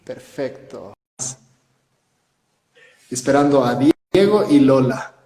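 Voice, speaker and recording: A man's voice: mid-range voice, lively intonation, slow, a little mellow. A man, a priest, hosting an interview and asking questions in a friendly, enthusiastic way. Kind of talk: conversational interview. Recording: echoey room with long reverb.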